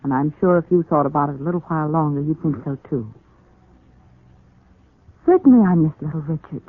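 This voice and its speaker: An actress playing a devoted, firm young wife in a 1940s radio soap opera, earnest, insistent and affectionate. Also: female voice, sits low, brisk. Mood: firm, reassuring. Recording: muffled, echoey 1940s radio broadcast recording.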